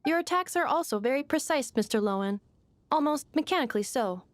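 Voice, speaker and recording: A woman's voice: sits high, animated, brisk, dry recording. A woman voicing a polite, dutiful female robot in a video game, formal and precise in manner. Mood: polite, composed. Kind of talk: scripted video game voice acting.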